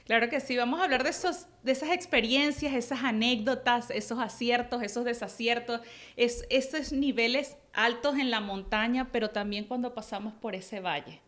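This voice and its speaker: A woman with a slightly raised pitch hosts an interview podcast. She opens with a prepared introduction, then moves into easy conversation, prompting her guest with brisk follow-up questions. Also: fairly bright tone. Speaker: podcast host.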